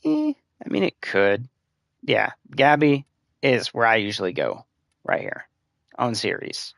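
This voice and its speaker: A man giving casual gameplay tips on a live stream, his voice a little hoarse and scratchy, talking in loose rambling runs full of "like" and "uh". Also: mid-range voice, huge pitch swings, a little slow, fairly bright tone, no echo, studio quality. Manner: rambling, filler-heavy advice-giving. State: slightly hoarse, losing voice. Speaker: game streamer.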